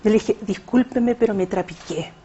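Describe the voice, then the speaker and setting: A woman's voice: mid-range voice, moderate pace, warm tone, breathy edge, highs cut off. A Chilean woman, a poet, answering interview questions in a hesitant conversational way with frequent fillers. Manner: hesitant, many fillers and restarts. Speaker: poet and writer, interview guest.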